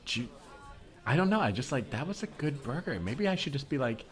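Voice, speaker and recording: A man's voice: mid-range voice, big pitch swings, a little fast, lightly acted. Man playing a flustered, over-eager young woman. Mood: awkwardly eager. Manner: hesitant, rambling, awkward. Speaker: improv comedian. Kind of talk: improvised comedy scene.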